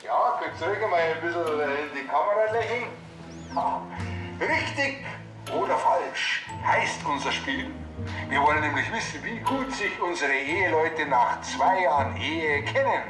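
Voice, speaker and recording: A man's voice: on the high side, animated, unhurried. An actor playing a cheeky Bavarian policeman in a TV crime comedy, with showy mock quiz-host patter. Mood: showy, playful. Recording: roomy, distant sound with music.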